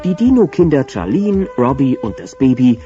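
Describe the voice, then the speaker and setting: Animated male storyteller over music. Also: mid-range voice, normal speed. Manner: dramatic, playful storytelling. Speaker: narrator. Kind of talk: scripted children's audio drama narration. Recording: dull cassette sound, loud music behind.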